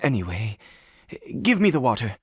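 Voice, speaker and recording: An actor voicing a weary, anxious father in a video game, speaking in a halting, stammering way with nervous hesitations. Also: medium pitch, sing-song, lightly acted. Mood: anxious, preoccupied. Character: weary, anxious father, nervous and desperate, devoted to his ailing son. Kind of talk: video game voice acting, dramatic English dub. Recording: music under the voice. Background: game music.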